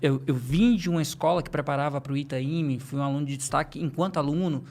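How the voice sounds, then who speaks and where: A man who works as a teacher, a guest on a podcast, telling anecdotes in a brisk way with gentle self-deprecating humor. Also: a little high. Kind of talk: casual podcast conversation.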